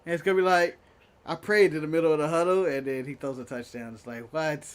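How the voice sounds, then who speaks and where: A man's voice: on the high side, steady tone, fast talker, clear, resonant tone. A man co-hosting a casual show, bantering and laughing often as he chats. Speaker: podcast co-host. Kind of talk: casual chat.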